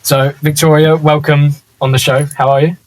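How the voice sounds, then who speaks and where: A man's voice: on the high side, little pitch movement, rough recording. Friendly male podcast host, casual. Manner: friendly, quick short acknowledgements, laughs easily. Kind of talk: casual interview chat.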